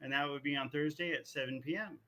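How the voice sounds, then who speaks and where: A man's voice: fairly high pitch, fairly even intonation, quick pace, pushed and bright, low-quality recording. A man hosting a podcast in a casual, chatty style. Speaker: podcast host. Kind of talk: casual chat.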